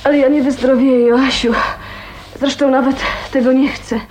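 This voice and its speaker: An actress playing a gentle, resigned, ailing young woman in a radio drama, hesitant and soft-hearted. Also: high-pitched, little pitch movement, normal speed, a little hiss or hum, close to an everyday voice. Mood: frail, caring. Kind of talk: Polish radio drama acting.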